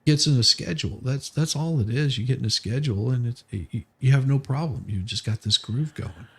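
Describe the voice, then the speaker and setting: A man with a mid-range, gravelly voice full of fry chats casually as a live streamer. He rambles in a jokey way and doubles back on his words. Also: mid-range voice, brisk. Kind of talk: casual livestream chat.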